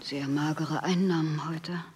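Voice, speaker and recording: A woman playing a practical, protective wife in a German radio play, matter-of-fact and firm, with a slightly husky voice. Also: low voice, steady tone, a little slow, far from the mic, noisy background.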